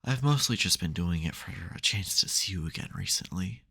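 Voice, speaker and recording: A man playing an exasperated but secretly caring supervillain in an intimate ASMR audio roleplay, wry and gravelly. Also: sits low, expressive, brisk, sharp tone, exaggerated voice. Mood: reflective, tender.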